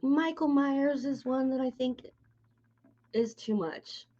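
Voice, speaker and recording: A woman's voice: sits high, slow, warm tone, dull audio. A woman hosting a casual, conversational interview, chatting along as she asks questions and shares opinions. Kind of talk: casual chat about horror.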